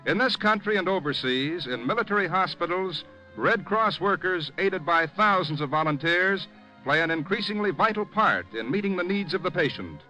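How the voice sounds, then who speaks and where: A man's voice: high voice, animated, moderate pace, sharp tone, faint music behind, rough recording. A man announcing in formal, emphatic scripted style on a vintage 1950s radio broadcast, projecting dramatically.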